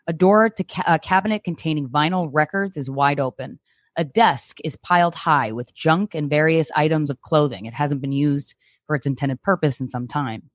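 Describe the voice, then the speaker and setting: A woman's voice: sits low, dry recording. Woman with close, dry-sounding voice. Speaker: guest reader, comedian. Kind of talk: casual chat and reading screenplay directions.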